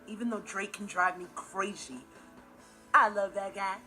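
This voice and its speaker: A young American man playing an earnest, excitable, gullible teenage boy in a sitcom, telling stories to the audience in an animated, emphatic way. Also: high voice, measured pace, music in the background. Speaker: sitcom actor. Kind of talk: comedic monologue to the audience.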